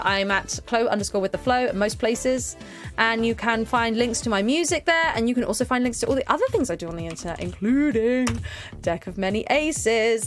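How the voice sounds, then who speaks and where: A woman from the UK, a streamer, chatting casually and upbeat as she closes her stream, laughing often. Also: fairly high pitch. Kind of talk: casual stream outro chat.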